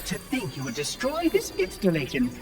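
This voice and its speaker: An actor voicing a prim, excitable male robot caretaker AI in a video game cutscene, fussy and effusive. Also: high voice, normal speed, music in the background. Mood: excited prim. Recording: distant voice over loud score.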